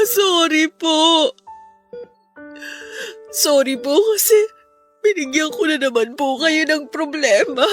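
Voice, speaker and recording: Casting: emotional, fragile young daughter for Filipino radio drama, remorseful, high-pitched. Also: female voice. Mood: tearful apology. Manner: plaintive, emotional. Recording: music behind.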